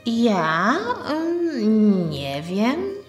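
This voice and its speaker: A woman's voice: mid-range voice, sing-song, extremely slow, echoey room, faint music behind. A woman voicing a wry, exasperated female teacher in a comic skit. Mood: hesitant.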